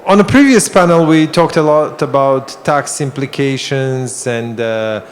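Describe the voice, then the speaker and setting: Halting male panel moderator. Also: mid-range voice, slight background noise. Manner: halting, many restarts. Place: conference hall.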